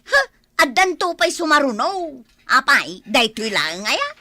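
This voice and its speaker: A woman's voice: high-pitched, very animated, a little slow, pushed and bright, dry recording, exaggerated voice. A woman acting as a defensive, excuse-making village mother in an Ilocano comedy radio drama, whining and arguing in an Ilocano accent. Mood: defensive, indignant.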